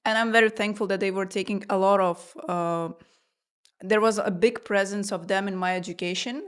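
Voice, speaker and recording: A woman's voice: medium pitch, steady tone, a little fast, fairly bright tone, smooth. A woman in her twenties from Lithuania, a native Lithuanian speaker, chatting as a podcast guest with many fillers. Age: 20s. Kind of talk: podcast interview.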